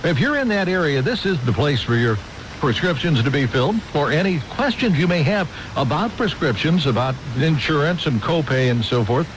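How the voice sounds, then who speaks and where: A man's voice: a little high, lively intonation, moderate pace. An older American man hosting an oldies radio show, chatting casually between records and ad-libbing sponsor reads in a folksy, rambling way. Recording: noisy AM radio broadcast.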